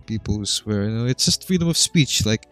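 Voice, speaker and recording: A man's voice: fairly low pitch, animated, fairly quick, fairly bright tone, no echo, soft background music. A young man co-hosting a casual podcast, joking and hesitant, full of fillers. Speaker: podcast co-host. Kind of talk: casual chat.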